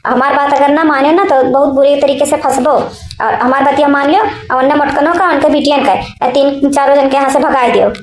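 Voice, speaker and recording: Domineering cartoon co-wife , female performer, high voice, eastern Uttar Pradesh accent, bossy and threatening, echoey sound. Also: fairly even intonation, fairly quick, a little hiss or hum, natural voice. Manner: bossy, scolding, repeats commands. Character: scheming, domineering younger co-wife, bullying and threatening. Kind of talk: village family comedy cartoon dub.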